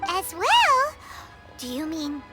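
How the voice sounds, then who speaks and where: A woman voicing a tiny, excitable childlike fairy companion in a video game, squeaky and exclamatory. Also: slow pace, exaggerated voice. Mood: puzzled. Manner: squeaky, exclamatory, speaks of self in third person. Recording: music playing behind the voice.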